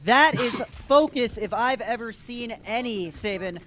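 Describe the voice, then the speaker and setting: A man doing energetic play-by-play commentary for an online esports tournament. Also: very high voice, a little slow. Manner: energetic, rises to excited shouts in big plays. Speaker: play-by-play caster.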